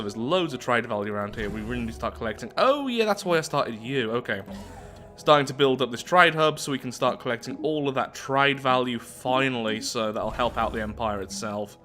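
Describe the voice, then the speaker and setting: A man's voice: mid-range voice, pushed and bright. A man hosting a gaming video, chatting casually, thinking aloud with amusement and reading game text out.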